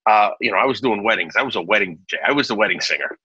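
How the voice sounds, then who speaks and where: A restaurant owner in his forties from Naples, telling his story in a chatty, rambling interview full of restarts. His slightly low voice is bright and forward. The dry recording sounds a little muffled. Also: animated, rapid-fire, slightly rough, no echo. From Naples, Italy. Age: 40s. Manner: chatty, many restarts and fillers.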